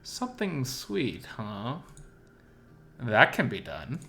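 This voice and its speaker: A man's voice: medium pitch, wildly swooping pitch, takes it slowly, vocal fry, bright, forceful tone, exaggerated voice. A man voicing a doting male boyfriend in a visual novel, with a teasing, tender way of talking. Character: doting, teasing boyfriend who cooks for his wolf.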